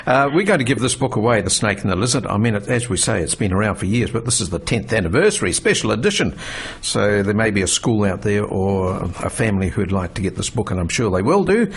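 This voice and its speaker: A man's voice: on the low side, sing-song, fast talker, slightly rough. A man hosting a casual radio interview, chatty and affirming. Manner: chatty, affirming, laughs easily. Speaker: radio host.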